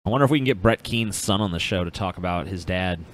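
A man's voice: low voice, fast talker. A man co-hosting a podcast with jokey, mocking casual banter. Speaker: podcast co-host.

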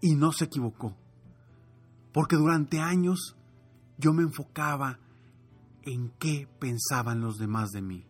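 A man's voice: medium pitch, measured pace, long pauses, soft background music. A man telling an inspirational story and giving motivational talk in an earnest, emphatic way with repeated phrases.

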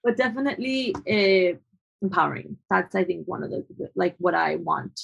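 A woman's voice: on the low side, lively intonation, normal speed, gravelly, husky, dull audio. A young adult woman photographer from Colombia, answering interview questions in a thoughtful, hesitant way with frequent restarts.

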